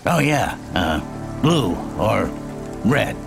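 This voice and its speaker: Gravelly, animated eccentric man. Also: fairly low pitch, very slow, music in the background, exaggerated voice. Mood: muddled, cautionary. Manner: rambling, muddled, self-important. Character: eccentric male self-styled engineer, muddled, paranoid, self-important. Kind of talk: video game dialogue.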